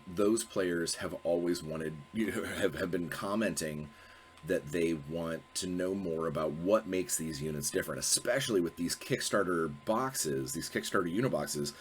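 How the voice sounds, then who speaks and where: Male host, low voice, congested with a cold, rambling and self-correcting, faint background hum. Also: moderate pace. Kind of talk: casual solo video talk.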